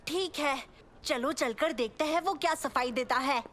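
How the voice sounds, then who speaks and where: High-voiced, sensible cartoon boy. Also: unhurried, a bit bright, a little hiss or hum. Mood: firm, reasonable. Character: sensible, principled twelve-year-old boy hero. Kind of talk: animated comedy series dub.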